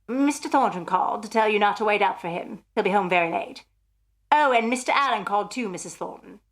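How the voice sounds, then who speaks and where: A woman's voice: mid-range voice, lively intonation, brisk, lightly acted. A woman playing a polite, deferential housemaid in a radio drama, helpful and eager to please. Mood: helpful, concerned. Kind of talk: radio thriller acting.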